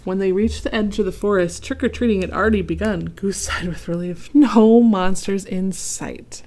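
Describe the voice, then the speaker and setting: A woman teacher reading a picture book aloud to children, gentle and stopping to ask the listener questions. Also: medium pitch, normal speed.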